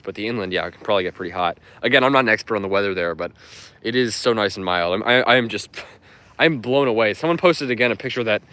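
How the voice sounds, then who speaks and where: Fast-talking man chatting outdoors. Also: fairly low pitch, bright, forceful tone. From United States. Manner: rambling, friendly, many fillers and restarts. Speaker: live-stream host answering chat. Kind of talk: casual live-stream chat while walking. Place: outdoors in public gardens.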